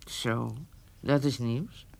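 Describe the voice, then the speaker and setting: A woman's voice: extremely low pitch, fairly even intonation, very slow, vocal fry, exaggerated voice. An actress playing a proud, unforgiving old woman in a radio drama, with a curt, cutting manner. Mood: dry, firm. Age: older. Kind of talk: naturalistic radio drama dialogue.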